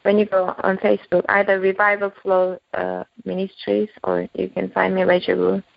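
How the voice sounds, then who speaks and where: Brief: Korean-born woman minister, halting accented speech, dull dry podcast sound. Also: medium pitch, completely flat delivery, vocal fry, dry recording. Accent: Korean mixed with German and British. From South Korea. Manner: halting, many restarts and fillers. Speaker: guest minister. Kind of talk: spoken prayer and podcast chat.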